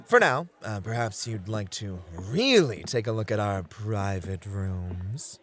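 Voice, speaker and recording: Man acting as slow, animated flirty club host. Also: fairly low pitch. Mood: courteous, showy. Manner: smooth, teasing, theatrical hospitality. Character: suave, playful male club host, flirty and courteous. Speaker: club host showing a guest around. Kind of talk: flirty ASMR roleplay acting.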